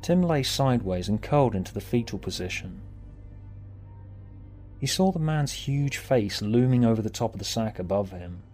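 A man reading scripted story narration in a measured, deliberate way. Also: lowish voice, expressive, warm tone, faint music behind. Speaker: author and narrator.

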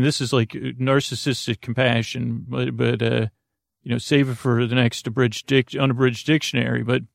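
A man hosting a sleep podcast in a creaky voice, rambling through a soothing bedtime monologue in a meandering, halting, stammering way. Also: mid-range voice, dry recording, clean studio sound. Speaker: podcast host.

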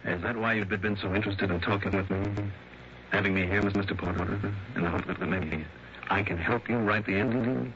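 A man's voice: lowish voice, steady tone, normal speed, a bit bright, slight background noise. An actor playing a shrewd, wary private investigator in a 1960s radio drama, narrating his case in a clipped, world-weary way. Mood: guarded, polite. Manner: clipped, world-weary first-person telling. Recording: dull old radio broadcast, music behind.

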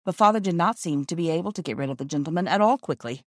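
A woman's voice: fairly low pitch, lively intonation, fast, no echo, clean studio sound. A woman reading a children's story aloud as scripted audiobook narration.

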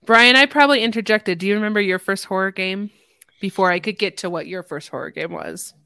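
A woman's voice: medium pitch, brisk, creaky, sharp tone, clear, resonant tone, no echo. A woman hosting a casual conversation in a playful, self-deprecating way. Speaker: podcast host. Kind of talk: casual chat.

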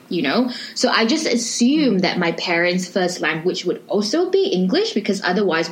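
A woman's voice: fairly low pitch, rough recording. A young woman from Malaysia, chatting casually and explaining things at length. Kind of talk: casual conversation.

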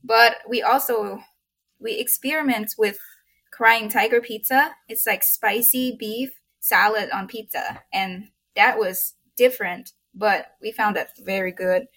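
A woman's voice: on the high side, fairly even intonation, a little slow, a bit bright. A woman from Thailand with a Thai accent, speaking English as a second language, answering interview questions in simple, earnest phrasing. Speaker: food truck co-owner.

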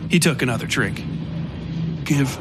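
A man reading dark fiction as a dramatic scripted narration. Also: medium pitch, normal speed, heavy background noise. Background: music.

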